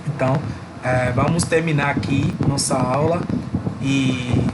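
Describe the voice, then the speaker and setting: A male teacher wrapping up a recorded online lesson, speaking hesitantly with frequent pauses and fillers. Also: medium pitch, fairly even intonation. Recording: loud background noise with clicks.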